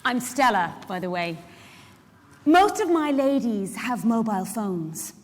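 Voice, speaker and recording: Animated, high-voiced working mum, echoey hall. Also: female voice, unhurried. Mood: chatty, explaining. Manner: chatty, emphatic, confiding asides to the listener. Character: Stella, busy, warm-hearted, indignant working mother and community care worker. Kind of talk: dramatic monologue reading performed live. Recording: echoey hall, live stage reading.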